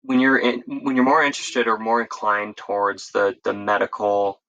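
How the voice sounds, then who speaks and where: Bright-voiced American man, low-pitched. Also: steady tone, normal speed, highs cut off. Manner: rambling, self-correcting, informal. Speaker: interview guest, EMS instructor. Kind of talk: casual conversational storytelling.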